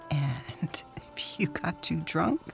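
A woman's voice: sits low, expressive, takes it slowly, creaky, heavily acted. A woman playing a restless young wife who whispers wry confessions into a recorder. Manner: hushed confiding whisper with wry laughs. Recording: music playing under the voice.

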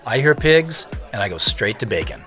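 An actor playing a busy, self-deprecating young tech CEO in a modern audio drama, talking in a casual, wry way. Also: male voice, medium pitch, big pitch swings, moderate pace, pushed and bright, faint music behind. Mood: light, teasing.

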